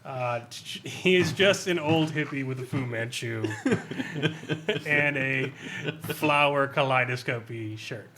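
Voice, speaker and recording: A man co-hosting a podcast, giving an animated, sweary comedic review of a role-playing adventure, reading bits out and laughing often. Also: mid-range voice, takes it slowly, slight background noise. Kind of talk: casual comedic review with read-out excerpts.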